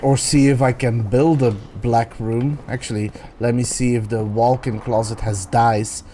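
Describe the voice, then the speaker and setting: Belgian man streaming, mellow voice. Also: mid-range voice, unhurried, slight background noise. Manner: thinking aloud, relaxed and chatty. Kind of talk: casual chat while gaming.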